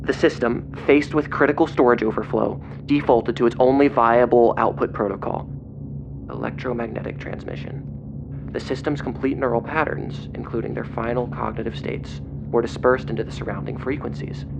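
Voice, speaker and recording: A man playing an agitated investigator in an audio drama, speaking in a grave, halting, intense way over a phone. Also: medium pitch, steady tone, music in the background, lightly acted. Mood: grave reading. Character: agitated male investigator relaying grim secret documents by phone. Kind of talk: audio drama acting, partly reading documents aloud. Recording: dull lo-fi phone call, clear noise.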